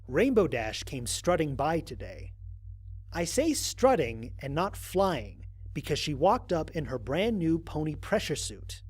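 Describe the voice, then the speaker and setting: A husky astronaut played by a man records his mission logs for a sci-fi audio drama. He is wry and grumbling, and his conversational delivery swings expressively up and down from a somewhat high-set male voice. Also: a little mellow, exaggerated voice. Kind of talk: dramatized first-person log narration.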